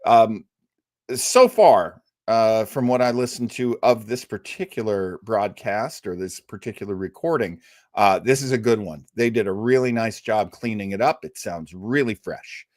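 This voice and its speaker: A man's voice: fairly low pitch, animated, unhurried, a little mellow, no room sound, studio quality. A man hosting a casual live stream, talking in an enthusiastic, rambling way and acting out bits of conversation. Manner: enthusiastic, rambling, acts out quotes. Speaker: livestream host.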